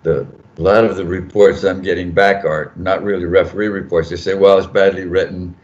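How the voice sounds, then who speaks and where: Older male editor, deep voice, rambling and self-correcting, muffled video-call audio. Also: flat delivery, fairly quick. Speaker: journal editor on a panel. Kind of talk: casual panel talk. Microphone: video call mic.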